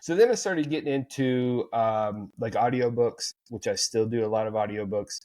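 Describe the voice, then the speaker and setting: A man chatting casually as a podcast co-host. His voice sits at an ordinary middle pitch, and he rambles through his thoughts with frequent fillers and restarts. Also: moderate pace, darkish tone. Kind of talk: casual chat.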